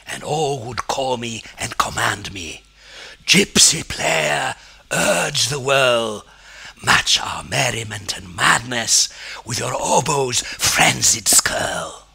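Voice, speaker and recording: A man reciting poetry in a theatrical, declamatory manner, with weighty pauses and a husky, gravelly, breathy voice. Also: mid-range voice, expressive, pushed and bright. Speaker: poetry reader.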